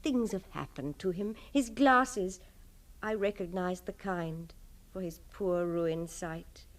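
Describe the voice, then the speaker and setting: An actress playing a tender, earnest, devoted gentlewoman in a literary radio drama, with theatrical delivery. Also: on the low side, slow pace, far from the mic. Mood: impassioned, pitying. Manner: hushed to impassioned, theatrical delivery.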